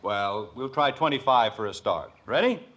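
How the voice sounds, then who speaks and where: Animated, blustering 1950s radio dad, played by a man. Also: medium pitch, moderate pace, lightly acted. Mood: brisk, commanding. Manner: hemming, good-humored bluster. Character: genial, boastful suburban family father, middle-aged. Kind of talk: 1950s radio sitcom acting. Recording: noisy vintage radio recording.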